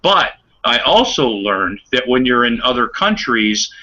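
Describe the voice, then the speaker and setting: An older man in casual chat over a video call, halting, with many fillers and chuckling asides. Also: mid-range voice, very animated, sharp tone. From United States. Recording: dull lo-fi online call audio.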